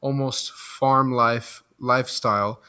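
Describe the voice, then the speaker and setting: A man's voice: medium pitch, fairly even intonation, takes it slowly, clean tone. A young man raised in Belgium whose first language is French, speaking reflectively as a guest on a casual podcast interview. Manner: reflective, thinks out loud.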